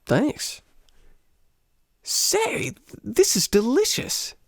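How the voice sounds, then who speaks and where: An actor playing an eager, smitten American teacher on holiday in a radio play, boyish and halting in his enthusiasm. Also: very high voice, wildly swooping pitch, creaky, no echo, studio quality, exaggerated voice. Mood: cheerful, admiring.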